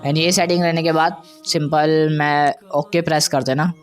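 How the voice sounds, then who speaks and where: A man's voice: on the high side, little pitch movement, normal speed, soft background music. A man presenting an audio editing tutorial in a casual, conversational way, often checking that listeners follow. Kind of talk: informal software tutorial.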